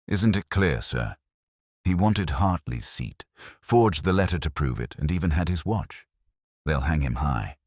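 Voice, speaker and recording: Deep-voiced earnest police sergeant voiced by a man. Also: expressive, measured pace, darkish tone, no echo, clean studio sound, full character voice. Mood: confident. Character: earnest male police sergeant, credulous deputy. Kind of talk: detective audio story.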